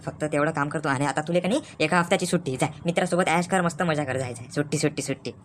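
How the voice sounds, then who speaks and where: A woman's voice: low voice, rapid-fire, slight background noise, close to an everyday voice. A woman voicing a hot-tempered, threatening male tuition teacher in a comic cartoon, with a Vidarbha Marathi accent and scolding, repeated questions. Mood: pleading, appeasing.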